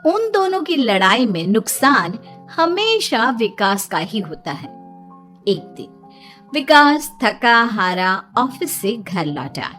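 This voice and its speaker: A woman's voice: fairly high pitch, a bit bright. A woman narrating a scripted children's story.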